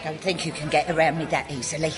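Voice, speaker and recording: An actress playing a breezy, protective woman in a sitcom, expressive, coy and gently chiding. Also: sits low, fast, a crowd in the background, lightly acted. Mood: chiding, playful. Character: Pauline, breezy, protective woman with romantic past. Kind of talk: studio sitcom acting.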